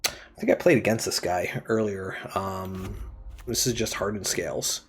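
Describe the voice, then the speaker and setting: A man's voice: low voice, a little slow, fairly bright tone, soft background music. A man streaming a card game, thinking aloud as he plays, his voice still throaty from a cold. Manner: thinking aloud while playing. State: throaty, getting over a cold. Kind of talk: casual card game commentary.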